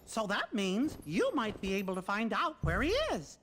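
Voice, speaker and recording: An actor playing a cheerful, earnest mouse king in a video game cutscene, in a high falsetto cartoon voice. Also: big pitch swings, normal speed, fairly bright tone, a little hiss or hum.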